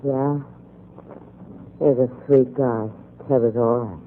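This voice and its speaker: An actress playing a frightened, evasive American dame in a 1940s radio crime drama, guarded in manner. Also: female voice, a bass voice, fairly even intonation, slow. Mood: listless. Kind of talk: 1940s radio noir acting. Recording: muffled, noisy 1940s radio recording.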